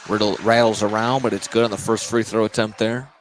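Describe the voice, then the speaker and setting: Low-voiced man calling live basketball. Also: little pitch movement, fairly quick. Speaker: play-by-play announcer. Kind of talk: live high school basketball radio commentary. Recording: noisy live gym broadcast.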